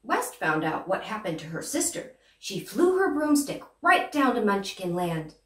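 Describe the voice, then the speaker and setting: A gracious good witch, played by a young woman, tells a storybook tale to small children. The delivery is expressive and deliberate, at a medium pitch. It is picked up from a distance in an echoey room. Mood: gentle storytelling. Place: small plain room indoors. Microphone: far camera. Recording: roomy, distant microphone.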